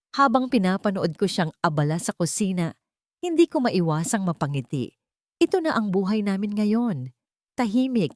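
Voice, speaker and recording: A woman narrating a scripted romantic story in a measured, sentimental storytelling manner. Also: fairly low pitch, darkish tone, no echo, clean studio sound. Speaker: narrator of romance audio stories.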